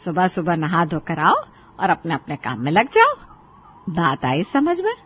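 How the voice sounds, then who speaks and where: A woman's voice: on the low side, huge pitch swings, measured pace, slightly rough, highs cut off, exaggerated voice. Woman acting bossy, stern older Indian mother-in-law. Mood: stern, scolding. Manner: scolding, commanding, emphatic. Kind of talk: Hindi cartoon family drama dialogue.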